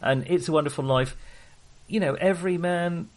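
A man's voice: on the high side, normal speed. A man co-hosting a casual film discussion, thinking aloud and often interrupting himself. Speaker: podcast co-host discussing films.

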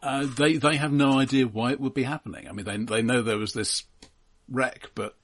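A man's voice: medium pitch, fairly even intonation, a little fast, a bit bright. A man co-hosting a podcast in casual, analytical film talk, wry and often restarting his sentences. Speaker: podcast co-host.

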